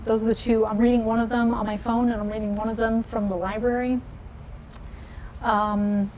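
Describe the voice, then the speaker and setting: A woman's voice: dark tone, slight background noise, poor audio. A young woman chatting casually on a livestream, laughing often and answering viewer comments. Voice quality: low voice. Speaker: nail polish vlogger.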